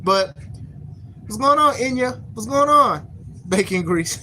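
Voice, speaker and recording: Podcast host, 30s, mid-pitched, casual rambling takes, slangy and repetitive. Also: expressive, slow pace, pushed and bright, a little hiss or hum, low-quality recording. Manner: rambling, repeats words, slangy. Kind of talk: casual opinion commentary.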